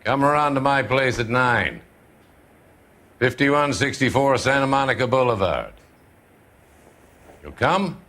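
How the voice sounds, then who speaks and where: An actor in a noir video game playing a smooth, menacing wealthy tycoon, formal and insinuating. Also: male voice, medium pitch, lively intonation, a little slow, long pauses, bright, forceful tone, slight background noise. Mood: insinuating, commanding.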